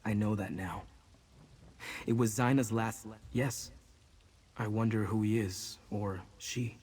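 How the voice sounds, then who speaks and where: An actor playing a troubled, earnest male wanderer in a video game, hesitant and solemn, with a husky voice. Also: on the low side, darkish tone. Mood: solemn, pensive. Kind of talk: video game character dialogue.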